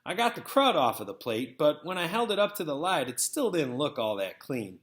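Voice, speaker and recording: A man reading a first-person story aloud as narrator, with an expressive, folksy storytelling manner. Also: on the high side, moderate pace. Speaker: read-aloud narrator, teacher. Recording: echoey, roomy sound.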